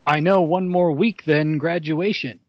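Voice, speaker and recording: A man's voice: fairly high pitch, steady tone, measured pace, dry recording, lightly acted. A man playing an eager, gossipy teenage best friend in an amateur fan fiction read. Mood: mildly wistful. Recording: slightly dull, lo-fi voice track.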